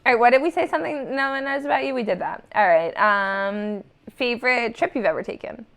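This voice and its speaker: A woman's voice: a little high, a little fast, a bit bright. Woman, young adult, comedian host, casual live chat, laughs a lot, reads comments aloud. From United States.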